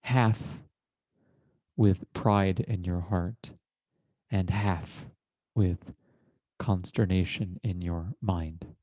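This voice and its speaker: A man leading a guided meditation in a measured, deliberate manner, with formal, literary phrasing and long pauses between short phrases. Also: deep voice, fairly even intonation, dark tone, dry recording, dull audio. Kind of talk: guided meditation, slow contemplative narration.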